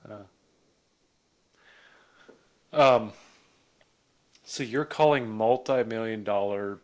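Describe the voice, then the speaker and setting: A man's voice: on the low side, slow pace. A man hosting a podcast, asking his guest questions in a casual interview style.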